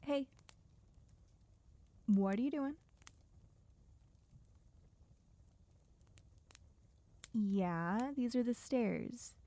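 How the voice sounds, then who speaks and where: A woman's voice: on the high side, expressive, slow, mellow, dry recording. A woman playing a wry, bossy, guarded survivor in a dystopian audio roleplay, speaking to a silent listener in a dry, chiding, sardonic way. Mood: alarmed, chiding.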